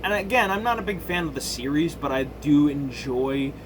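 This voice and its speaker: Higher-voiced man rambling over background noise. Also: animated. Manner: halting, self-interrupting, circling back to rephrase; blunt, crude slang and swearing. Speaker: video game movie commentator. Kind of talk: casual opinionated rambling commentary.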